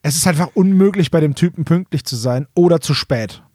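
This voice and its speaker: A man's voice: a little high, fairly quick, mellow, dry recording, clean studio sound. A man co-hosting a casual comedy podcast, emphatic and mock-indignant, repeating himself for effect. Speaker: podcast co-host.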